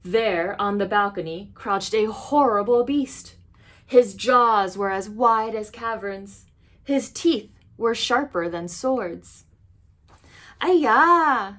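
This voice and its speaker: A woman reading a children's storybook aloud as an expressive narrator. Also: mid-range voice, slow pace. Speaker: storybook reader.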